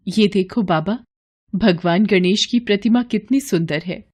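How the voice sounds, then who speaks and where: A woman's voice: medium pitch, unhurried, darkish tone, breathy edge. A woman voicing an innocent, devout young stepdaughter in a storybook tale, earnest, pleading and childlike. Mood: eager. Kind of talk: devotional storybook acting.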